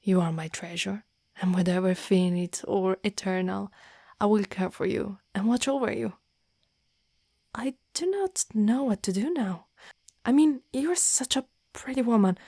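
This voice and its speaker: Woman acting a tender female dragon knight, possessive and lovestruck, breathy half-whisper, dry close mic, ASMR roleplay. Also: mid-range voice, warm tone, dry recording. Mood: earnest, devoted, then shy, tender. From Italy. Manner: halting, formal, archaic phrasing. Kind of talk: romantic ASMR roleplay, intimate and partly whispered. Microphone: close microphone.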